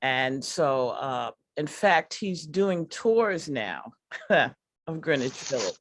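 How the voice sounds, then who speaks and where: A woman's voice: low-pitched, big pitch swings, drawn-out words. A woman performer and workshop artist talking earnestly and informally, often repeating her first words as she starts. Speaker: workshop artist and character-based comedy performer.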